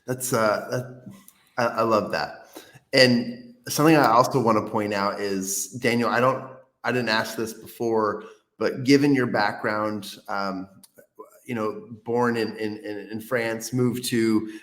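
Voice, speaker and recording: A man hosting an interview, talking in rambling, halting sentences with many restarts. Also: fairly low pitch, fairly even intonation, fairly bright tone, roomy sound. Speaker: podcast host. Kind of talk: casual interview.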